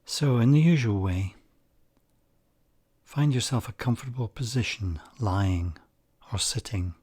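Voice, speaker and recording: A man guiding a meditation, speaking softly and calmly, some phrases nearly whispered. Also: lowish voice. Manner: soft-spoken, calm and unhurried, with breathy near-whispered phrases. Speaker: meditation guide. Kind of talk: slow guided meditation.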